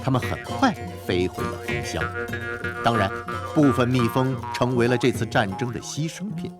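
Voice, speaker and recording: A man narrating an animated folk tale in an expressive, scripted storytelling style. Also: mid-range voice, moderate pace. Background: music.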